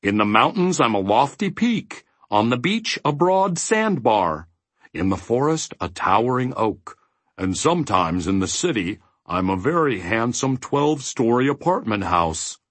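A man's voice: medium pitch, very animated, measured pace, no echo. A man acts out an enormous storybook giant who blusters and grumbles to hide his fear. The speech wavers and hedges peevishly, with the voice close and dry. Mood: anxious, confiding. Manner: bellowing, hedging, indecisive. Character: huge fearful, ferocious gelatinous male giant, peevish.

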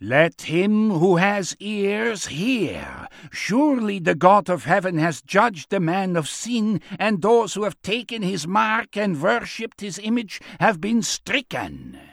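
A man voicing an old prophet who proclaims scripture in a booming, righteous, defiant voice. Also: expressive, creaky, dry recording, studio quality. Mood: righteous, stern. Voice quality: huge, deep, piercing, booming. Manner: slow solemn proclaiming of scripture.

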